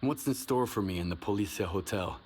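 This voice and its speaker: A man's voice: on the low side, animated, brisk, a little mellow, slight background noise, lightly acted. A man playing a hardened, laconic wasteland loner in a video game, speaking matter-of-factly.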